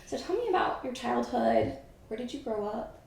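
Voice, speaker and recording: A woman hosting a podcast interview, speaking in an effusive, halting way with fillers like "you know". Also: on the high side, expressive, creaky, darkish tone. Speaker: podcast host. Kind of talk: casual interview chat. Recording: very echoey room.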